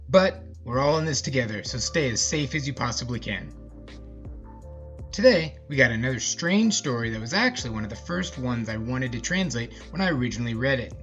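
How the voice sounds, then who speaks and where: A man's voice: medium pitch, fairly bright tone. A man hosting a podcast and telling a scripted story in a casual narrator's style. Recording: slightly muffled, music behind. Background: music.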